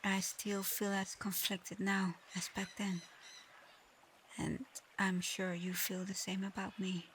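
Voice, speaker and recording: A woman voicing a proud but conflicted dragon girl in human form for an ASMR audio roleplay, soft-spoken, hesitant and earnest. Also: medium pitch, level tone, slow, a little hiss or hum. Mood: solemn, conflicted.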